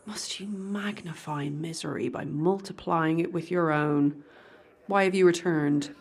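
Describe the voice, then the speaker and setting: A woman doing a husky, scornful red-eyed woman. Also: deep voice, full character voice. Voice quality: husky, gravelly whisper. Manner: cutting, contemptuous, slow and deliberate. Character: scornful, weary woman with glowing red eyes. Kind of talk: fan audio drama acting.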